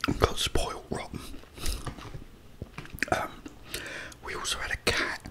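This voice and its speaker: Slow whispering man. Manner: hushed, unhurried, halting with pauses. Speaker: ASMR creator. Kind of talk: ASMR whispered personal storytelling.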